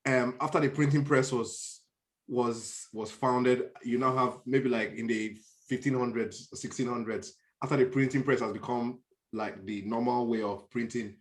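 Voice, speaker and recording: A man's voice: mid-range voice, fairly even intonation, moderate pace. A man hosting a casual conversational interview, speaking informally and often repeating the start of his sentences. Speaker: podcast host.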